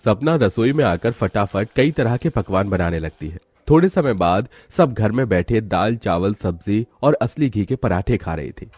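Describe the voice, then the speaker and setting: A man's voice: lowish voice, lively intonation, moderate pace, hardly any pauses, darkish tone, breathy edge, no room sound. A man reading scripted narration as the storyteller of a moral family story.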